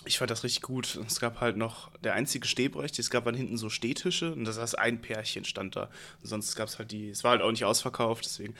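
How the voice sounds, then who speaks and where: A young man with a gravelly voice and a stuffy, congested nose from a cold. He rambles through a story in relaxed, slangy casual chat, hosting a podcast with a friend. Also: medium pitch, fairly quick, few pauses, a little hiss or hum. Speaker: podcast host.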